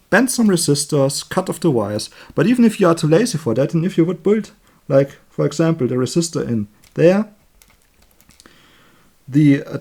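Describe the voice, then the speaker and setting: Male electronics hobbyist, native German with German accent, voice a bit higher than average. Informal demo delivery, halting and talkative while working. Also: a little mellow. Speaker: electronics hobbyist demonstrating a circuit build. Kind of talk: informal hands-on tutorial, explaining while working.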